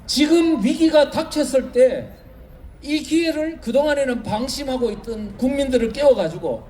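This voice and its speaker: A man's voice: extremely high pitch, darkish tone, slight background noise. A male professor giving an urgent speech at an outdoor rally, full of rhetorical questions.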